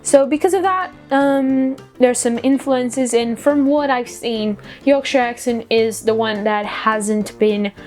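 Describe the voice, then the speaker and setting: A woman's voice: a little high, slow pace, hardly any pauses, darkish tone, faint music behind. A woman with a foreign accent giving a casual explainer vlog, chatty and giggly in a self-deprecating way. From outside the UK. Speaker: vlogger.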